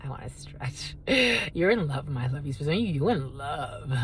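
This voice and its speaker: A woman's voice: deep voice, animated, moderate pace, slight background noise. A woman whose first language is Spanish gives a casual tarot reading. She talks bluntly, swears freely, and calls her viewers "my lovies". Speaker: tarot reader.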